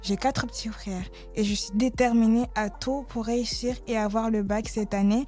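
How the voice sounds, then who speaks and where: A woman's voice: fairly high pitch, fairly even intonation, normal speed, dark tone, faint music behind. An 18-year-old woman from Mayotte, introducing herself plainly and steadily. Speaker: student presenter.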